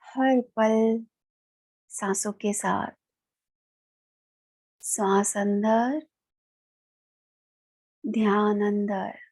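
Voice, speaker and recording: A woman with an Indian accent leads a guided meditation in a calm, soothing manner, leaving long pauses. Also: medium pitch, low-quality recording. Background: background music.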